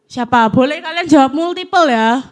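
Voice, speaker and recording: Young woman, mid-twenties, high voice, casual church speaker, playful and a bit nervous. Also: normal speed. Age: 20s. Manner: chatty, self-deprecating, nervous. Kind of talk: casual youth sermon with audience interaction. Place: church hall, live audience.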